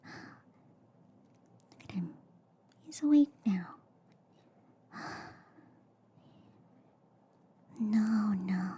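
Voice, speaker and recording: A woman voicing a quirky, reassuring alien girl doctor in a soft ASMR roleplay, mostly in a hushed, breathy whisper with a soothing, chatty manner. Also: fairly high pitch, a little hiss or hum. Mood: mildly exasperated. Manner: slow, chatty, soothing, repeating filler words. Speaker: ASMR performer.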